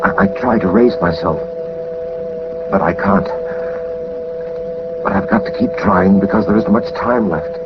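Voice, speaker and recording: An actor playing a suave, methodical gentleman detective in 1940s radio drama style, urbane and theatrical, with wordy, dry self-possession. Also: deep voice, unhurried, long pauses, loud music behind the voice. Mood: dazed, tense. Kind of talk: 1940s radio drama acting, first-person narration. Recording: muffled, noisy old 1940s radio recording.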